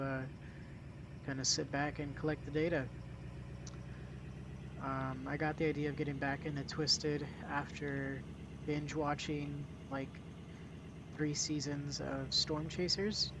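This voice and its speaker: A man hosting a casual gameplay commentary, talking in a relaxed, hesitant way while getting over a cold with a slight cough. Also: a little high, background noise. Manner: relaxed, hesitant, many ums and restarts. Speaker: gaming channel host.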